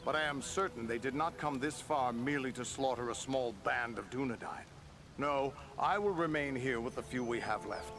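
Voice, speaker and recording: An actor playing a dutiful, sober ranger leader in a fantasy game, speaking formally and deliberately. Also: male voice, mid-range voice, noisy background, close to an everyday voice. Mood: somber, resolute.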